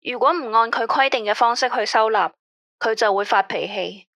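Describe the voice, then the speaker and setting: Anxious, weary caller with a Hong Kong Cantonese accent, higher voice, dry close sound, played by a woman. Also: moderate pace, fairly bright tone, dry recording. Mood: uneasy. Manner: tense, complaining, weary. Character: female caller, anxious about a controlling ex-husband. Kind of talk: radio drama acting.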